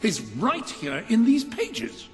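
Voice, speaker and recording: Prim loyal British manservant, game cutscene, high-pitched, hugely expressive intonation, formal diction, faint music. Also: male voice, husky, full character voice. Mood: marvelling, proper. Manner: formal, fastidious, wordy. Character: fussy, learned, loyal royal butler. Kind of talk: video game cutscene acting.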